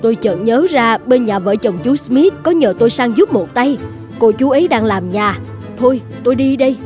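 A woman playing an exasperated, plain-spoken village housewife in an animated fairy tale, protesting and talking back. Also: fairly high pitch, moderate pace, soft background music, close to an everyday voice. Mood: defensive.

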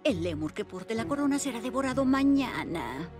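A woman voicing a solemn chameleon fortune-teller in an animated cartoon dub, with an oracular delivery. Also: a little high, moderate pace, music in the background. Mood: solemn, foreboding.

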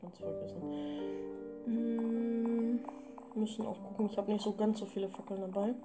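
A woman chats casually through a game. Her pitch is average for a woman. Loud guitar music runs behind everything she says. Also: steady tone, normal speed. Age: 20s. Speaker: let's player. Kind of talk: casual chat during gameplay.

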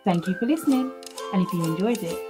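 A woman's voice: fairly low pitch, lively intonation, quick pace, over music. A woman reading a children's picture book aloud in a storytelling style.

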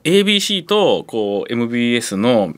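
Quick, animated man. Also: medium pitch. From Kansai, Japan. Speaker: radio show host. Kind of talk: casual chat.

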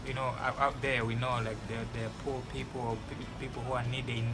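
A man's voice: mid-range voice, level tone, fast talker, loud music behind the voice, rough recording. A young man from Malawi, answering interview questions outdoors in an earnest, advice-giving way with frequent restarts. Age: young adult. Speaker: graduating student interviewee. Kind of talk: casual interview. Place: outdoors by a building wall.

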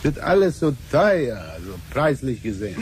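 An actor playing an awkward, overly polite scientist with a foreign accent and halting phrases in a radio drama. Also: male voice, mid-range voice, big pitch swings, lightly acted. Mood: hesitant, courteous. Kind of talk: 1970s radio drama acting. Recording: noisy old radio drama recording.

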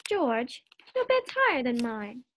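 Woman acting a very high-pitched, sassy wife. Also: very animated, a little slow, exaggerated voice. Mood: coaxing, playful. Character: sassy, exasperated, playful young sitcom wife. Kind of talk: old-time radio sitcom acting.

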